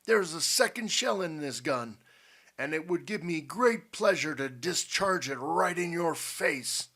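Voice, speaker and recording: A man voicing an old grandfather in an audiobook story, courteous and deliberate, commanding yet kindly. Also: fairly high pitch, lively intonation, sharp tone, breathy edge, pitched above the performer's own voice, heavily acted. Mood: stern.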